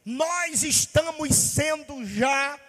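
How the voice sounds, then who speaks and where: High-pitched preacher from Bahia, echoing hall. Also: male voice, very slow, fairly bright tone, soft background music. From Bahia, Brazil. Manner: impassioned preaching, sing-song cadence, rhetorical questions. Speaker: pastor. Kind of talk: sermon.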